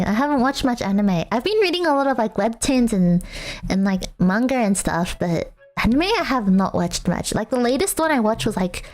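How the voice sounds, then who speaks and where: A young woman streaming a casual chat with viewers, rambling and self-mocking with lots of fillers and frequent laughter. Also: a little high. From Australia.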